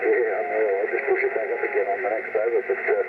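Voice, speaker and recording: A man's voice: lowish voice, flat delivery, fast talker, phone-quality sound. Australian man on a very noisy radio link. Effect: shortwave radio transmission. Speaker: amateur radio operator. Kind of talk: casual radio contact chat. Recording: thin, very noisy shortwave radio signal.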